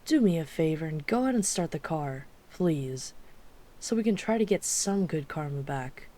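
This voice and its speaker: A woman's voice: low-pitched, animated, normal speed, dark tone. A woman playing a wry, chatty, flirtatious mafia boss with an apologetic streak in an ASMR roleplay. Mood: exasperated. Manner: wry, exasperated, chatty, teasing. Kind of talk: ASMR roleplay audio drama.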